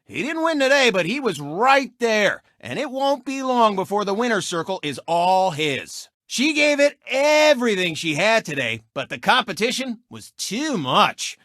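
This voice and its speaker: An actor voicing a cocky, boastful talking race car who hosts a sports show in a video game, with smug, showy, mocking commentary. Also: male voice, sits high, big pitch swings, measured pace, few pauses, a bit bright, no echo, studio quality, exaggerated voice. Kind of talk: animated sports commentary and taunting.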